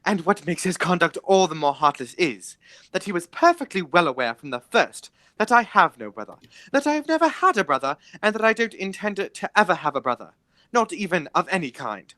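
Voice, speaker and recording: A man playing an indignant, stubborn gentleman guardian in an amateur radio play, formal and emphatic in his delivery. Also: sits high, big pitch swings, brisk, no echo, heavily acted.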